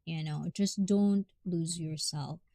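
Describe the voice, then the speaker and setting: A woman with a Filipino accent chats her way through a livestream, thanking viewers and giving heartfelt advice. She talks slowly and unhurriedly, laughing often. The sound is dry and close, with almost no room echo. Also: lowish voice, fairly even intonation, warm tone. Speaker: livestream host.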